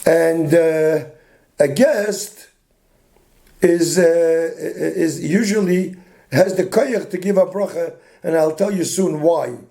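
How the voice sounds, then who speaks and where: An older man, a rabbi, with a hoarse voice, giving an informal talk full of stories, restarting his sentences often. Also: a little high, takes it slowly, warm tone. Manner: meandering, many restarts, mixes Yiddish and Hebrew terms.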